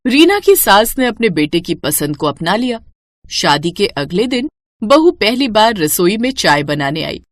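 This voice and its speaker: Woman, story narrator, scripted delivery, lively pitch range, dry studio-like sound. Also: unhurried, no room sound.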